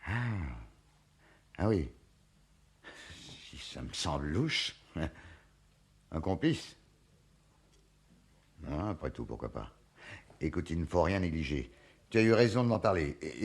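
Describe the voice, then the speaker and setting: Stubborn detective, low-pitched, touchy, insistent, theatrical radio play delivery. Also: male voice, sing-song, slow, pauses often, heavily acted. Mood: brisk, questioning. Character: dogged, touchy male police detective chasing a hunch. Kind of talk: French radio play acting.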